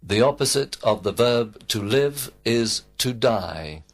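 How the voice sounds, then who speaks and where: A man giving slow, complete textbook answers as a model student in a recorded English lesson. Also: mid-range voice, animated. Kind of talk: scripted full-sentence answers.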